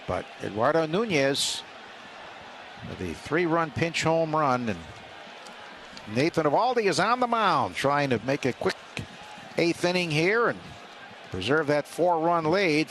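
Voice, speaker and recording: Man, radio baseball play-by-play, slightly high pitch, conversational, hesitant pauses, stadium noise behind. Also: expressive, a bit bright. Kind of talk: live play-by-play commentary. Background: outdoor ballpark ambience.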